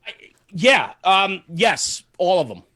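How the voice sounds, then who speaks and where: Man talking earnestly, slightly high-pitched voice. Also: very animated, takes it slowly, bright, forceful tone, no room sound, studio quality. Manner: earnest, emphatic, repeats phrases for stress. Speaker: podcast guest, fire service media host. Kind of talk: casual podcast interview, passionate monologue.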